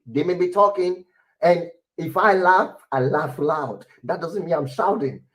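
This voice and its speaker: A middle-aged man with a loud, airy voice, giving relationship advice to women in an emphatic way. He repeats his points and keeps checking in with his listeners. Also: a little high, unhurried.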